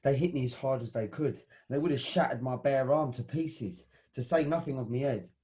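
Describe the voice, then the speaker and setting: A man's voice: medium pitch, normal speed, warm tone, a little hiss or hum, natural voice. An actor playing a rowdy football hooligan who swears and stammers as he tells the story. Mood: boastful. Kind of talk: satirical audio drama.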